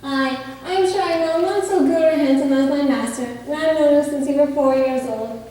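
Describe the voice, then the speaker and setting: A woman's voice: sits high, moderate pace, warm tone, lightly acted. A woman playing a chatty, deferential housekeeper devoted to her master in an amateur stage play, projecting period speech. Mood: proud, chatty. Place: stage in a theatre or hall, distant microphone. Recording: echoey theatre hall from far off.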